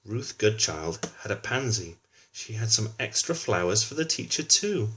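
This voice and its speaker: A man's voice: on the low side, big pitch swings, normal speed, a bit bright, slight background noise. A man reading a children's book aloud, narrating with chatty asides addressed to young listeners.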